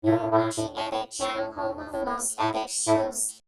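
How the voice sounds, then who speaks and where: A woman's voice: sits high, moderate pace, gravelly. A woman giving stilted, formal scripted announcements with synthesizer music behind her. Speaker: emergency alert announcer and news reader. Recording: very echoey, music-backed track.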